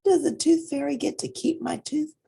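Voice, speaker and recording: A woman's voice: sits high, moderate pace, vocal fry, dark tone, voice pushed up. A woman reading a storybook as a young girl rabbit, childlike, questioning and stubborn. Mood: curious. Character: curious young girl rabbit with loose tooth.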